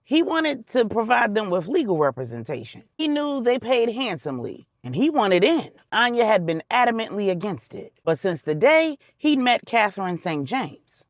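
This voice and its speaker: A woman's voice: medium pitch, no echo, clean studio sound. A woman narrating a scripted drama story for adults in a dramatic, colloquial storytelling manner with slangy touches.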